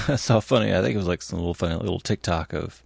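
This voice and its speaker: Deep-voiced male co-host with vocal fry, chatty storyteller, recorded dry. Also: fairly quick, no echo. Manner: chatty, rambling, reads headlines aloud. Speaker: podcast co-host. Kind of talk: casual chat.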